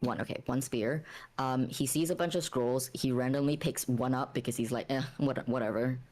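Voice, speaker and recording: A woman playing a tabletop game, casually narrating her character's actions while thinking aloud in a halting way. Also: extremely low pitch, steady tone, darkish tone.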